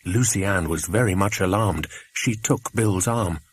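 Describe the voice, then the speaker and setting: A man reading a children's adventure audiobook, an expressive scripted narration. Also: sits low, unhurried, husky.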